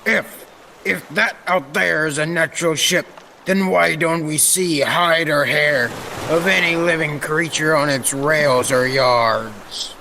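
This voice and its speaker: An actor playing a stubborn, superstitious old sailor who speaks in emphatic salty dialect, in old-time radio style. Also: male voice, on the high side, slow, bright, forceful tone, a little hiss or hum, full character voice. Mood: insistent.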